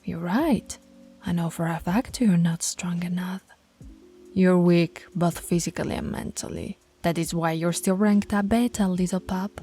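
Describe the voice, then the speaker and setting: A woman voicing a dominant, stern alpha werewolf pack leader in an ASMR roleplay, scolding and taunting in a commanding, deliberate way. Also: lowish voice, mellow, faint music behind. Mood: cold, belittling.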